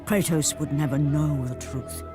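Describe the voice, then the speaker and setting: A man performs a grave teller of ancient myths, narrating a game cutscene very slowly and solemnly. The delivery is portentous, with weighty pauses, over clearly audible music. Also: on the high side, fairly even intonation, natural voice. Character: grave storyteller of ancient myth. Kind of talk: dramatic scripted narration for a video game. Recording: music behind the voice.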